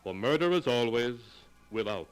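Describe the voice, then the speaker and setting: A man's voice: mid-range voice, expressive, moderate pace, clean tone. A man narrating the close of a vintage radio drama in a solemn, ominous tone. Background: music.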